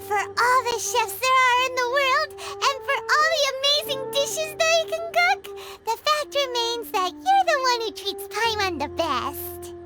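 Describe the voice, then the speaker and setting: A woman voicing a small, childlike, excitable fairy companion in a video game, with a squeaky voice and exclamatory manner. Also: unhurried, few pauses, full character voice. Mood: delighted. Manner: speaks of self in third person, exclamatory. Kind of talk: animated video game character acting. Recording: loud music behind.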